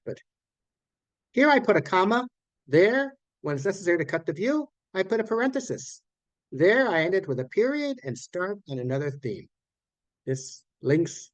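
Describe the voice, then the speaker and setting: A man teaching an online class in an informal lecture style, explaining things haltingly and often correcting himself mid-sentence. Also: medium pitch, no echo, low-quality recording. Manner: halting, explanatory, with repeated phrases and self-corrections. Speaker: online course teacher of academic English writing.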